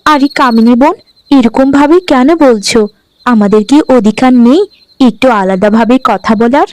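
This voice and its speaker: A woman voicing a gentle, dutiful young new bride in a cartoon, speaking meekly and earnestly. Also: a little high, normal speed, natural voice, poor audio. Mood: gently earnest. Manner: meek, earnest, conciliatory. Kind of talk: Bengali cartoon dialogue acting.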